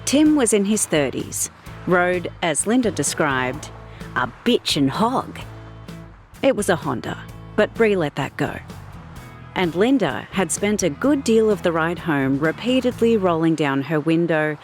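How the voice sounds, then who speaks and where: A woman narrating a scripted audiobook story in an expressive storytelling manner. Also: fairly low pitch, measured pace, soft background music.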